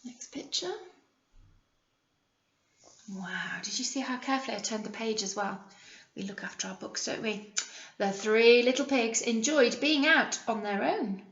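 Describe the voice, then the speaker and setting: A British woman, a primary school teacher, reading a picture book aloud to young children and pausing to explain and ask simple questions. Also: fairly high pitch, fairly bright tone, reverberant, highs cut off.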